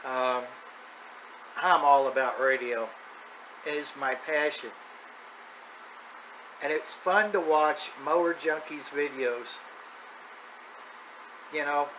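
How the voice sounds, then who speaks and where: A man's voice: a little high, slow pace, long pauses, sharp tone, poor audio. A middle-aged or older man talking in a casual, unscripted, plain-spoken and folksy way as the host of a hobby video. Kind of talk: casual unscripted vlog monologue. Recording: clear background noise, ambient sound.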